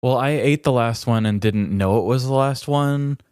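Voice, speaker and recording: A man's voice: medium pitch, normal speed, a little mellow, smooth, no echo, studio quality. A man co-hosting a podcast in casual comedic chat, playful, self-deprecating and mock-earnest. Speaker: podcast co-host.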